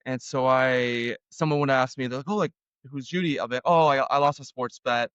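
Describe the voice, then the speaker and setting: Smooth-voiced man telling a story. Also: medium pitch, fairly quick, no room sound, dull audio. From Argentina. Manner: relaxed, self-deprecating, lots of fillers. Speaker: podcast guest. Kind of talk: casual storytelling.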